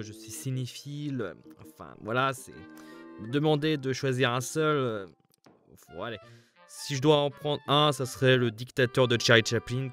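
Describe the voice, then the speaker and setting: Hesitant man, casual answers, mid-pitched voice. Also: fairly bright tone. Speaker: fandub group member. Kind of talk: casual answers to viewer questions.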